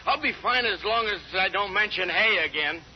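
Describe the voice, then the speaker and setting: An actor playing a sickly, giggly male dwarf in a 1940s radio play, halting and bashful. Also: high-pitched, animated. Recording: dull old radio broadcast with hiss.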